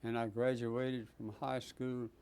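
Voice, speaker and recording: Halting, mellow-voiced man in his nineties. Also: mid-range voice, flat delivery, clear, resonant tone. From Texas. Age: 90s. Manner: halting, many pauses and fillers. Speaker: Navy veteran guest. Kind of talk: oral history interview.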